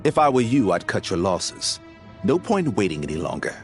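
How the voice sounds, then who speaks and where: A man's voice: lowish voice, big pitch swings, moderate pace. An actor voicing a smug, rule-bound male gatekeeper in a video game, talking down in a bureaucratic way, with faint music behind. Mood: condescending.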